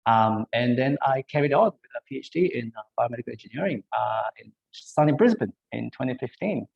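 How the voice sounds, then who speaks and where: A man in his thirties from Malaysia, giving an online career talk over a video call and pausing often with ums and uhs. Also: medium pitch, animated, vocal fry, dull audio. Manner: hesitant, many ums and uhs. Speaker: biomedical engineer, panel speaker.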